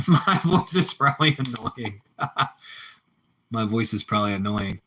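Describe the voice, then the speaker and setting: A man's voice: mid-range voice, animated, slow pace, pushed and bright, slight background noise. A man with a Pittsburgh accent chatting casually with live-stream viewers, rambling and repeating himself as he answers the chat.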